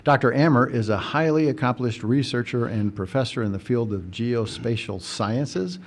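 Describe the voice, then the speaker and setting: A man's voice: medium pitch, moderate pace. A man hosting an education podcast, reading a formal introduction and then chatting with his guest, earnest and friendly with a few fillers. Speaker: podcast host, recruitment coordinator. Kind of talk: scripted introduction and interview.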